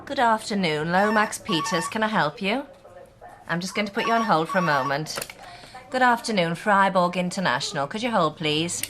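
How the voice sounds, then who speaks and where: Low-pitched polite female receptionist, played by an actress. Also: normal speed, background noise, close to an everyday voice. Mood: brisk, polite. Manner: polite, practised telephone patter. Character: brisk, polite female receptionist answering company phones. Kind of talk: radio drama acting.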